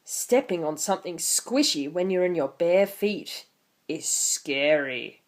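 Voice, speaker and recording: A woman's voice: on the low side, lively intonation, slow pace. A woman in her twenties hosting an online video, talking casually and reading a picture book aloud with theatrical emphasis. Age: 20s.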